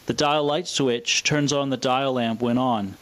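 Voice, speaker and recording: A man presenting a scripted, explanatory product demonstration in a measured, matter-of-fact way. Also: medium pitch, steady tone. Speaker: presenter of a vintage radio showcase video.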